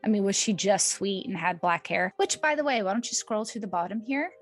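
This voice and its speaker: A woman's voice: medium pitch, expressive, a little fast, soft background music. A woman co-hosting a podcast, telling stories in a casual, informal and chatty way with emphatic repetition. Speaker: podcast co-host. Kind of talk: casual storytelling chat.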